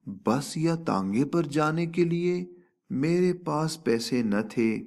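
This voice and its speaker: A man narrating a children's story expressively, with deliberate, dramatic phrasing and drawn-out emphasis. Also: medium pitch, a little mellow.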